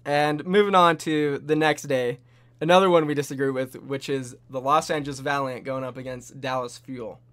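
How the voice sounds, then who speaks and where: A young man hosting a casual esports prediction chat, animated and full of bold, hype-driven takes. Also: medium pitch, moderate pace. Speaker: host.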